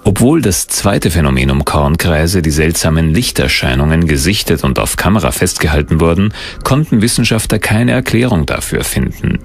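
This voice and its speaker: A man's voice: deep voice, expressive, normal speed. A man reading scripted documentary narration as the narrator. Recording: music under the narration. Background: background music.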